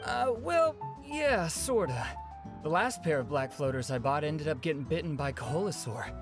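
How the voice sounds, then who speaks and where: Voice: mid-range voice, sing-song, normal speed, over music. An actor playing a gullible young customer in a video game, casual and hesitant. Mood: puzzled, glum.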